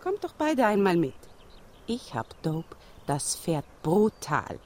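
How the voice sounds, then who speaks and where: A woman's voice: on the low side, big pitch swings, takes it slowly, darkish tone, full character voice. An actress playing a cool, brash female stoner with a slangy, offhand manner in a verse radio play. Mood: cool, enticing. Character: cool, brash dope-offering stoner named Edeltraud, female.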